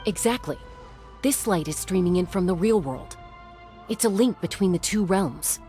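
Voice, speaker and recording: A woman voicing a calm, knowing, serious mystic guide in a video game, measured and explanatory. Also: on the low side, soft background music, close to an everyday voice. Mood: calm, explaining.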